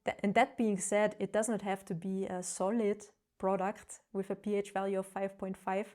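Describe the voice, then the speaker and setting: A woman's voice: mid-range voice, a little mellow. A woman with a German accent, a non-native English speaker, explaining skincare findings in an earnest, casual vlog with light self-deprecating humor. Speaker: travel vlogger.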